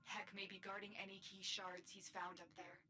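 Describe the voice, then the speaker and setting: An actress playing a calm, commanding female mission guide in a video game. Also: mid-range voice, lightly acted. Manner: cool, measured, even delivery. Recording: game audio with loud background noise.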